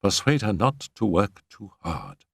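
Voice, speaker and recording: Brief: a stern older matron in a storybook, voiced by a male reader, low and measured, close and dry. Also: huge pitch swings, creaky, slightly rough, dry recording, heavily acted. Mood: concerned.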